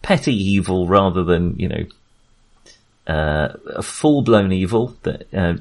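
A man's voice: sits low, expressive, unhurried. A man in a casual film discussion, hesitantly searching for his words and often rephrasing as he goes. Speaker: film podcast host.

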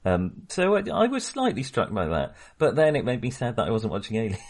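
A middle-aged man with a low voice that moves around a lot in pitch. He chats casually as a film podcast co-host, wry and a little hesitant, often rephrasing as he goes. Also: huge pitch swings, brisk, darkish tone.

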